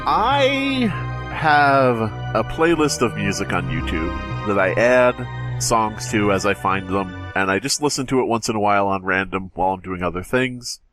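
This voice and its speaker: A man's voice: on the low side, animated, normal speed, over music. A man hosting a podcast, telling a story in casual, profane chat. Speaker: podcast host.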